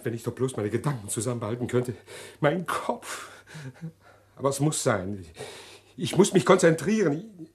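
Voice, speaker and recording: An actor playing a condemned male prisoner in a 1960s German radio drama, agitated and halting as he pleads to confess. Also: medium pitch, animated, lightly acted. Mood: desperate pleading. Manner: agitated, halting, repeating himself.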